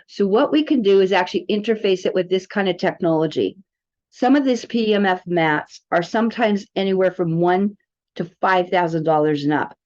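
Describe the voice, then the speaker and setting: A woman's voice: fairly low pitch, moderate pace, darkish tone. Chatty woman, dull lo-fi sound. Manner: chatty, informal, rambling. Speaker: presenter demonstrating a wellness app. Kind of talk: casual product tutorial. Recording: dull, lo-fi audio.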